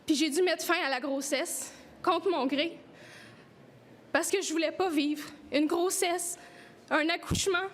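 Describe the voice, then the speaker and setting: A woman's voice: extremely high pitch, steady tone, unhurried, long pauses. A woman with a Québécois accent giving an earnest, emotional personal testimony at a press conference. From Quebec.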